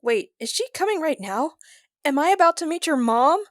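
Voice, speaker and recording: Casting: actress for young sci-fi sidekick, casual, teasing, inquisitive, higher voice, dry sound. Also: female voice, animated, moderate pace, no echo. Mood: curious, teasing. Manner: informal, inquisitive. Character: casual, teasing young female space adventurer.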